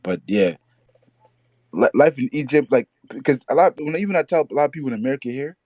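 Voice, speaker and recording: A man's voice: medium pitch, lively intonation, fast talker, darkish tone, dry recording, studio quality. A man chatting as a podcast guest, rambling with many fillers and restarts. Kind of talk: casual podcast chat.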